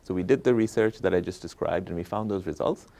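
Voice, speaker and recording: A man's voice: sits low, fast talker, vocal fry, a little mellow. A man from Bangladesh, an academic guest explaining research conversationally and often checking in with short tag questions. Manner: explanatory, checks in with "right?" and "okay?". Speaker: economist, professor interviewed as guest.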